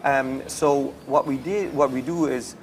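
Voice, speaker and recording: A man's voice: medium pitch, fairly even intonation, measured pace, slight background noise. A man with a Swiss accent, an athlete guest telling his story casually in a studio interview. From Switzerland.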